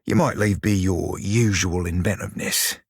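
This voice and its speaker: Game role: roguish furry sailor, husky growl, bawdy and sardonic, mid pitch, close dry sound. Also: male voice, steady tone, normal speed, dry recording, heavily acted. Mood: sly, bantering. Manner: salty, colloquial pirate talk, wry and crude. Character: roguish, bawdy, sardonic blue-furred pirate sailor creature. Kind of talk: video game character dialogue and barks.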